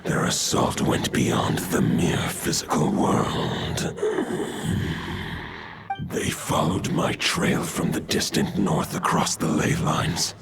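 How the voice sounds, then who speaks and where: An ancient wolf spirit with an extremely deep, gravelly voice. He speaks very slowly, with grave, old-fashioned phrasing. Loud game music plays underneath. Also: heavy background noise, full character voice. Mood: solemn. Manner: slow, archaic, grave speech. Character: ancient male wolf spirit, solemn and commanding.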